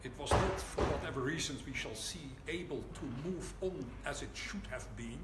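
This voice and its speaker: Older male lecturer, Dutch accent, dry wit, measured delivery, roomy hall with background noise. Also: on the high side, lively intonation. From Netherlands. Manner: wry, deliberate, pauses for laughs. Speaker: rabbi giving a lecture. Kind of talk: public lecture. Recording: echoey hall, audible room noise.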